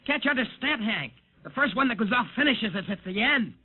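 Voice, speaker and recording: An actor playing a frantic young test pilot in an old radio drama, urgent and pleading. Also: male voice, very high-pitched, lively intonation, a little fast. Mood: desperate. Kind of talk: 1950s radio drama acting. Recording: tinny lo-fi old radio broadcast.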